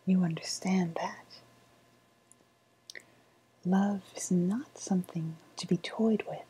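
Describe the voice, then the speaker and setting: Woman playing a slow, breathy whispering witch. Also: fairly low pitch, dark tone, heavily acted, rough recording. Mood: grave, cautioning. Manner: slow, deliberate, coaxing. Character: sly woodland witch, dealing in dark love magic. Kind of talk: ASMR roleplay, soft-spoken close whispering.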